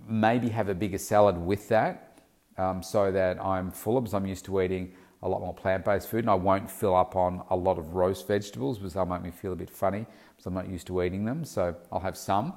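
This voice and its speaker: A man with a somewhat low voice and a snuffly, blocked-nose sound from hay fever. He talks as a personal fitness coach, laying out plans step by step in an easy, conversational way. He fills his pauses with ums and uhs. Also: a little mellow. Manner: conversational, instructive, talks in steps with frequent ums. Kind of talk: casual advice monologue.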